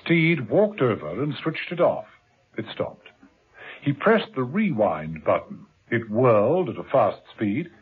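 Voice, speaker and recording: A man's voice: medium pitch, big pitch swings, measured pace, slightly rough, narrow, tinny audio. A man narrating a 1970s radio drama serial in a dramatic, wry storytelling manner. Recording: thin old radio recording with music.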